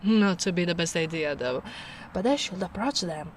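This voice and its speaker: A young woman playing a gentle, protective female ogre in a soft-spoken ASMR roleplay, speaking slowly and soothingly with quiet breathy asides. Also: on the low side, animated, slight background noise, close to an everyday voice.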